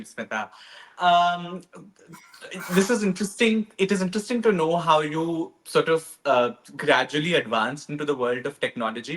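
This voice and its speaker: A man hosting a podcast, asking measured questions. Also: on the high side, fairly bright tone. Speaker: podcast host. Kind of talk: interview questions.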